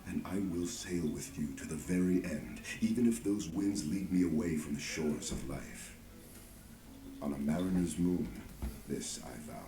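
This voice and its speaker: A very low-voiced, loyal soldier companion for a fantasy game. He speaks with solemn, heartfelt earnestness, and his voice rings in a roomy echo. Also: normal speed. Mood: earnest, solemn. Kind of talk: dramatic video game voice acting.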